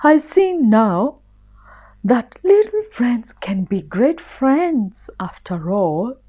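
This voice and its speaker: A big, proud male storybook lion, played by a woman. He speaks very slowly and imperiously, swinging widely in pitch, on a slightly dull recording. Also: very animated, mellow, heavily acted. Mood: grateful. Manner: slow, drawn-out, imperious.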